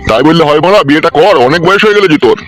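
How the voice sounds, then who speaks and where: A man's voice: on the high side, lively intonation, very fast, soft background music, close to an everyday voice. A man playing a blunt, scolding village uncle in a comedy cartoon, speaking colloquially with a Bengali accent. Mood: grumbling.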